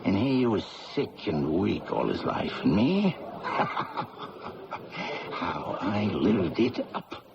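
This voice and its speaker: A man's voice: fairly low pitch, very animated, slow, vocal fry, very noisy, exaggerated voice. An actor playing a roguish, hard-drinking man in a radio drama, breezy, wry and unrepentant about being caught as an impostor. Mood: wry, resigned. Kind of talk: old-time radio drama acting. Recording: dull radio broadcast with loud music.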